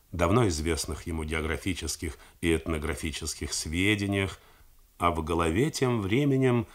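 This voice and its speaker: A man narrating a novel in a measured, theatrical reading style for a 1980s radio broadcast. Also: low voice. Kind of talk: scripted literary narration.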